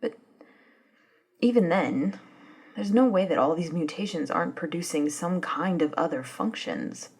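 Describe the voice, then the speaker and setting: A woman playing an eager, polite female office and lab assistant in a soft-spoken ASMR roleplay, with a breathy, murmuring, slightly hesitant delivery. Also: fairly low pitch, lively intonation, unhurried. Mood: curious, casual. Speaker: voice actor in scripted ASMR roleplay.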